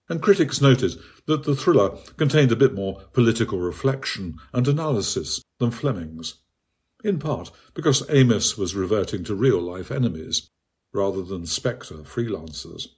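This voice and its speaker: Low-pitched, husky man reading an essay. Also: expressive, normal speed, darkish tone. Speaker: author reading own article. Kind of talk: scripted literary essay narration.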